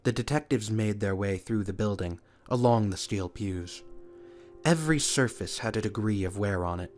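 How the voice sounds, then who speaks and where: Male narrator, lower register, scripted sci-fi audio play, music underneath. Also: normal speed, darkish tone.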